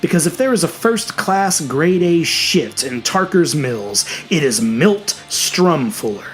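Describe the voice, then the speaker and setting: A man's voice: on the high side, very animated, slow pace. A man reading a scripted horror story as a dramatic narrator. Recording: music behind the voice. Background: music.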